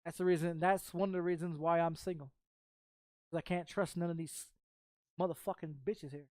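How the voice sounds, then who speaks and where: A woman's voice: lowish voice, steady tone, moderate pace, darkish tone, no room sound. Blunt woman in her thirties, close and dry. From Texas, United States. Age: 30s. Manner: blunt, heavy swearing, repeats phrases. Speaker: game streamer. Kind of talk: casual rambling chat.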